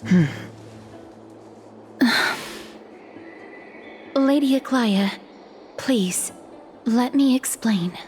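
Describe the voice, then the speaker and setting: An earnest young maiden from a video game, speaking softly but firmly. Her voice sits a little high, and clear music plays behind her. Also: extremely slow, long pauses. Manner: soft, earnest and resolute.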